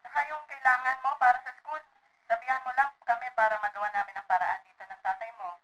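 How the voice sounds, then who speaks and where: A woman's voice: a little high, fairly even intonation, quick pace, fairly bright tone, natural voice. A woman with a Filipino accent playing a caring, fretful provincial mother on a phone call in a radio drama. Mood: caring, apologetic. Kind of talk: amateur radio drama acting. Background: music.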